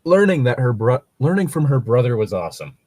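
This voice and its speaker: A man's voice: mid-range voice, very animated, brisk, a little mellow, no room sound. A man casually reading and critiquing a story as a podcast host, rambling, sweary and critical. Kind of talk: casual commentary with read-aloud passages.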